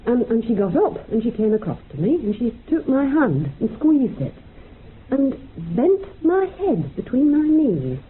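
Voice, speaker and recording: A woman's voice: a little high, very animated, measured pace. An actress playing a woman in a radio drama, telling a rambling, colloquial story of a haunted memory. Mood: haunted, uneasy. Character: woman recounting a dream of wartime dead in a club. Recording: muffled narrow-band radio recording, background hiss.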